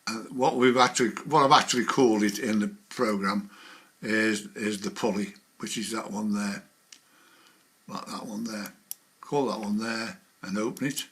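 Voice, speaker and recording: A man's voice: lowish voice, fairly even intonation, moderate pace, fairly bright tone. A man presenting an informal how-to demonstration, talking through each step as he does it. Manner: hands-on, talking through each click as he goes.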